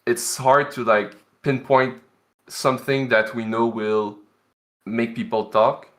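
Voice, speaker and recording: A man from Canada speaks with a bright, forward tone at a middle pitch. He is an indie game developer explaining his work earnestly, leaning on "like" as a filler. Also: level tone, slow. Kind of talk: casual interview answers.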